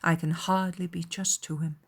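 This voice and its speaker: A woman voicing an aggrieved young gentleman in a dramatized audiobook, confiding and self-justifying, with measured, earnest, formal delivery. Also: lowish voice, flat delivery, breathy edge. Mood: bitter, restrained.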